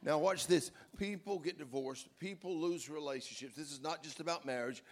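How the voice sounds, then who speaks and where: A man leads a church service as pastor, with a rough, gravelly grain in his voice. He preaches in an emphatic way, swinging from low asides to loud, punchy lines. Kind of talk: sermon with interview on stage.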